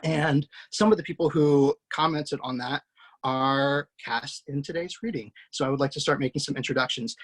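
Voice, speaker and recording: A man's voice: mid-range voice, poor audio. A man hosting over a video call, casually introducing a cast with effusive praise, loose and full of fillers. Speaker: host introducing a table-read cast.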